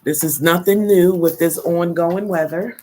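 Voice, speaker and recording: Woman host with a cold, stuffy voice, low and unhurried, relaxed live-chat welcomes. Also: slight background noise. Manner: slow, unhurried greetings with frequent ums. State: congested from a head cold. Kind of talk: casual live chat with viewers. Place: at home in New Jersey.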